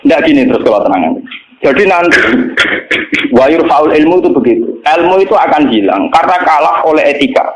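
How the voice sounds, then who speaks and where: A man's voice: a little high, very animated, brisk. A middle-aged man with a Javanese accent gives an informal religious lecture as a cleric, speaking colloquially and bluntly in anecdotes, with rhetorical check-in questions. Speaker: Islamic cleric and religious teacher. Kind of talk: informal religious lecture mixing storytelling with teaching. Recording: dull, echoey, noisy lo-fi recording.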